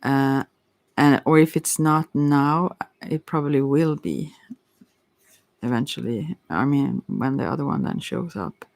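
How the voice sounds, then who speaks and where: An ASMR tarot reader improvising a reading, hesitant and often pausing to search for words. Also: mid-range voice, creaky, no echo. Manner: hesitant, searching for words, with long thoughtful pauses. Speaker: tarot reader hosting a live session.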